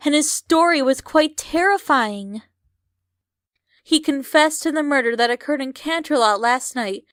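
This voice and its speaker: Woman playing a young female cartoon pony, high voice, earnest and articulate, letter narration, close dry sound. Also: a bit bright, no room sound. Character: young female pony student writing to her princess. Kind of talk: scripted letter narration in audio fan fiction.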